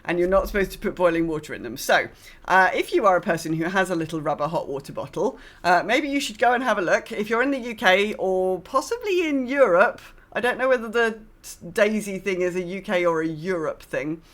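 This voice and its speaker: A British woman with an average-pitched voice chats as a podcast host. She talks in a loose, rambling way, often hesitating and circling round her point. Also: expressive, brisk. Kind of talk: casual chat.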